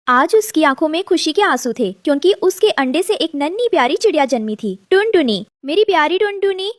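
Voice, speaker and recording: Casting: female cartoon story narrator, very high voice, highly animated, dramatic.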